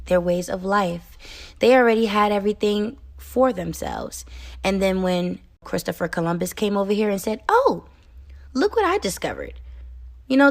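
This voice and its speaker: Earnest, emphatic American woman, lowish voice. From United States. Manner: earnest and emphatic, with frequent "um" and "okay?". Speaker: podcast host. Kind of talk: solo podcast commentary.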